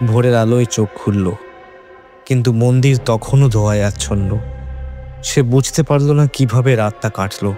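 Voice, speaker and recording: A man's voice: on the low side, warm tone. A man narrating a scripted horror story in a dramatic storytelling style. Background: background music.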